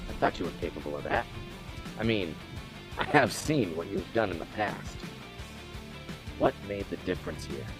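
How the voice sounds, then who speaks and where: A smug, cruel crime boss, played by a man in an audio drama. His voice swings widely in pitch as he mocks and needles, with music playing clearly behind him. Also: sing-song, moderate pace, pauses often. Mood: smug taunting. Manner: mocking, drawling rhetorical questions. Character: taunting male gang henchman boss, smug and cruel.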